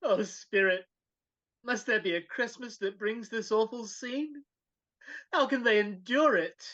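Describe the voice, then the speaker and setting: A woman's voice: a little high, unhurried, a bit bright. A woman playing an old reformed miser in an impassioned, theatrical live dramatic reading over a video call. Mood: pleading, fearful. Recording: dull video call audio.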